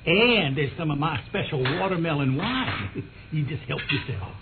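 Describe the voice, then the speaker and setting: An actor playing a plainspoken, hospitable poultry farmer with a folksy manner in an old radio drama. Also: male voice, fairly high pitch, big pitch swings, moderate pace, heavy background noise. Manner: folksy, rustic. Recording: phone-like old radio recording, loud music.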